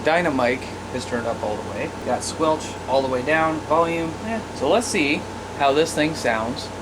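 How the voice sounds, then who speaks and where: A man's voice: fairly high pitch, expressive, moderate pace, background noise. An American man giving a casual, plain-spoken hands-on gear demonstration as an informal presenter. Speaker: radio hobbyist presenter.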